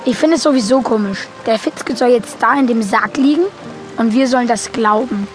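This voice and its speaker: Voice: medium pitch, moderate pace, faint music behind, lightly acted. A young performer playing a naive boy who narrates a German film audio drama in a simple, matter-of-fact way.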